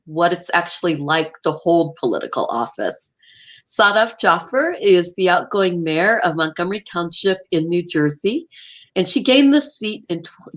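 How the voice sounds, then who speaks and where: A woman hosting an interview show, reading a formal introduction and asking measured questions. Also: low voice. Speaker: host.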